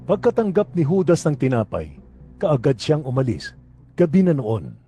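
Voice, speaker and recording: A man's voice: on the high side, huge pitch swings, normal speed, mellow, soft background music. A man narrating scripture for an audio drama in a scripted storytelling style.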